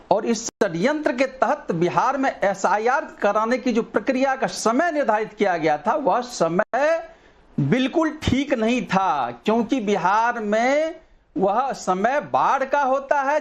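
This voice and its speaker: Man panelist, formal and measured, very lively intonation. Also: very high voice. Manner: formal, measured, elevated vocabulary. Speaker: party spokesperson, debate panelist. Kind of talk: TV debate argument.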